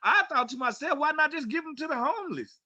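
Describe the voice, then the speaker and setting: Male sports co-host, high and bright, emphatic raconteur who voices both sides of conversations, dry, slightly muffled recording. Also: fast talker, no room sound. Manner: animated storyteller, acts out quoted dialogue, emphatic. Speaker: sports talk show co-host, former pro football player. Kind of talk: casual sports talk and storytelling.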